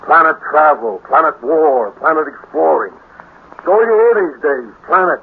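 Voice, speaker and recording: A man's voice: on the high side, expressive, normal speed, vocal fry, breathy edge, heavily acted. An actor playing a grumpy, dismissive middle-aged space-cop father, blunt and slangy, in a 1950s radio drama. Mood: scornful. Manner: grumbling, scoffing, blunt, slangy. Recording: scratchy, tinny 1950s radio recording with hiss.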